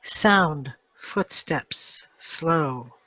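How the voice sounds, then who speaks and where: A woman's voice: deep voice, animated, very slow, pushed and bright, poor audio. A woman reading out sound effect cues from a radio script in short, clipped phrases.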